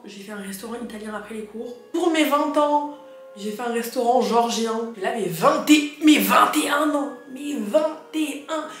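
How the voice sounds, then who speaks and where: A young woman in her early twenties chats animatedly and casually, full of slang. Her voice sits a little high. The room is very echoey, with faint music behind her. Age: young adult. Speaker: vlogger; au pair. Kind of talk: casual chat.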